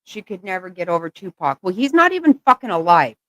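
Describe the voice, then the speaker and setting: Woman host, low voice, dry sound, profane exasperated commentator. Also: big pitch swings, fast, a bit bright, no echo. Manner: blunt, swearing, exasperated. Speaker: channel host reacting to clips. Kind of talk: angry reaction commentary.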